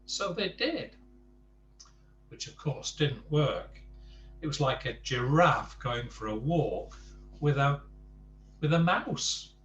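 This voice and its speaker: A man's voice: mid-range voice, lively intonation, takes it slowly, pauses often, a bit bright, echoey room, dull audio, a little hiss or hum. A man reading a children's bedtime story aloud, adding chatty asides to the listener.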